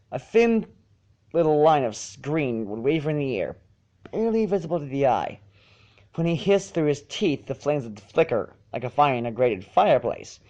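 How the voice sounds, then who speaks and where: A man reading a story aloud as narrator, in an expressive storybook style. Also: medium pitch, lo-fi sound. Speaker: fan fiction narrator.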